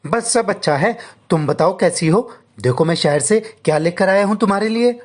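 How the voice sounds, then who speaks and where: A man's voice: high-pitched, animated, normal speed, darkish tone. A man voicing a humble, devout, hardworking married villager in a story. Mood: affectionate. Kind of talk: story dialogue.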